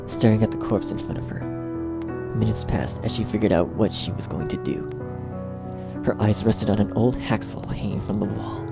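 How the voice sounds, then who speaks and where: A man reads a scripted horror story as narrator. His voice is low with heavy creak, set over clearly audible music.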